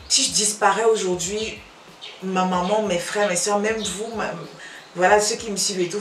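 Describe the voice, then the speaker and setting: A woman's voice: mid-range voice, a little hiss or hum. A woman from Cameroon with a Belgian-tinged Cameroonian French accent, chatting casually to camera as a YouTuber, repeating phrases and trailing off. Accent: Cameroonian French with a Belgian touch. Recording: echoey room, mic at a distance.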